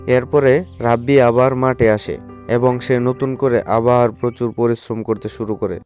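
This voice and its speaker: A man's voice: medium pitch, steady tone, measured pace, darkish tone. A man reading scripted storybook narration for a cartoon. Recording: faint music behind the voice.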